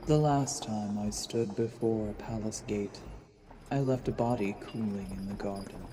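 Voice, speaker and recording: A man playing a coldly calculating assassin who poses as a lord, narrating gravely and deliberately in a fantasy audio drama. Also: lowish voice, background noise, natural voice. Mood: brooding, menacing.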